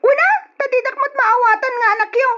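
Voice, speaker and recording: A headstrong young woman of twenty pleads and defies her father for love, as an actress plays her. Her voice is high. It is heard through a dull, lo-fi old radio recording. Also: steady tone, brisk, bright, forceful tone. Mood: defiant. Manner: pleading, defiant. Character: twenty-year-old daughter, headstrong and lovestruck. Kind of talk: old radio drama acting.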